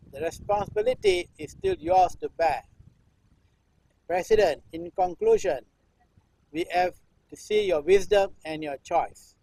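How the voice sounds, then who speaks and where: A man in his sixties from Singapore gives a live performance-art recitation. He declaims a read text in a halting way, restarting clipped words and breaking it up again and again with a repeated chanted refrain. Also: high voice, creaky, a little mellow, rough recording. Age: 60s. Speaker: performance artist.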